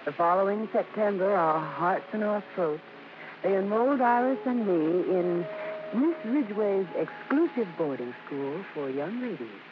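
A dull, noisy vintage radio recording of a grown American daughter looking back fondly. She narrates in measured, scripted storytelling, with a lively rise and fall. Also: lowish voice. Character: grown daughter fondly recalling her show-business family. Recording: muffled, noisy old radio broadcast.

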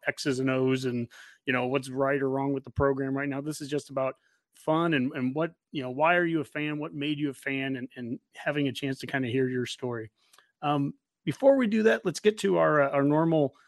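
A man's voice: mid-range voice, no room sound, clean studio sound. A man with an American accent hosting a podcast in a casual, conversational way. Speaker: podcast host.

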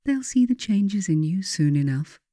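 A woman reading her own self-help writing as a meditative guide, in a measured, softly instructive way with deliberate pauses. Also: medium pitch, expressive, no echo.

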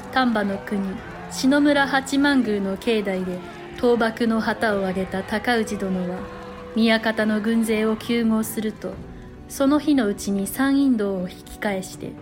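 A woman's voice: mid-range voice, close to an everyday voice. Woman as a formal courtly chronicler over music. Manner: polite, formal storytelling. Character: courtly noblewoman chronicling a war of old Japan. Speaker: narrator. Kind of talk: scripted period drama narration.

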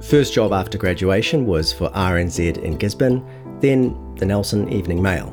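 A man's voice: deep voice, lively intonation, normal speed, music in the background. A man reading a scripted feature article aloud as narrator, acting out quotes as he goes. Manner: acts out quotes within narration. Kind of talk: scripted audio long-read narration.

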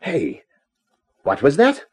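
An actor playing a flirtatious, hard-boiled private detective in a 1950s radio drama, with a slangy, wry, breezy delivery. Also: male voice, a little high, huge pitch swings, slow, slightly rough, full character voice. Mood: excited, urgent. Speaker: actor in the lead part of a detective radio serial. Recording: 1950s radio drama recording, music behind.

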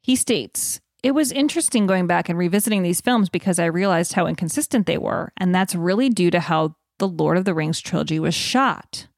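A woman's voice: lowish voice, lively intonation, brisk, hardly any pauses, no room sound, clean studio sound. A woman hosting a podcast in an informal, conversational way, moving between chat and reading out items. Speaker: podcast host. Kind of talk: casual podcast chat with read-out news.

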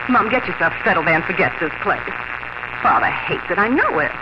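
A woman's voice: on the low side, sing-song, fairly quick. A young woman playing an eager, persuasive grown daughter in a 1950s radio drama, gushing and emphatic. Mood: worried pleading. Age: young adult. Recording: very noisy, dull 1950s radio recording.

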